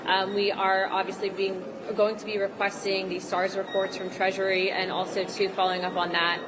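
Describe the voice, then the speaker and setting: A woman politician giving off-the-cuff remarks to the press, halting and repeating words. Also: mid-range voice, completely flat delivery. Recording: very noisy press gaggle audio.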